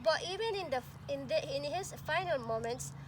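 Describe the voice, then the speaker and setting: A woman reading a picture book aloud expressively like a pre-K teacher, pausing to address and question young listeners. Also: high-pitched, expressive. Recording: clearly noisy amateur recording.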